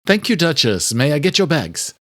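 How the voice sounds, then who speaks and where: A man's voice: a little high, sing-song, normal speed, a bit bright, no room sound, clean studio sound, voice pushed up. A man voicing a murderer who poses as a courteous chauffeur, sly and overly polite, in an audiobook thriller. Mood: smoothly courteous. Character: male killer posing as courteous chauffeur, sly and menacing.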